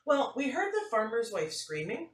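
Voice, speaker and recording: Woman playing a breezy schoolgirl, matter-of-fact storybook telling, lively intonation, roomy echo. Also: on the high side, moderate pace, pitched above the performer's own voice. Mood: matter-of-fact, animated. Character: nonchalant schoolgirl recounting an unbelievable farm trip. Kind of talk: picture-book read-aloud. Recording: roomy, faint noise, distant mic.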